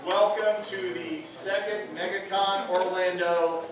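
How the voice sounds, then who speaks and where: A man's voice: high-pitched, fairly even intonation, slow pace. A man moderating a convention panel in a formal, announcer-like way, welcoming the guest and giving the audience instructions. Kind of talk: announcer-style convention hosting. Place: large convention panel room. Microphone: PA mic heard from a far camera. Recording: echoey far-off hall with room noise.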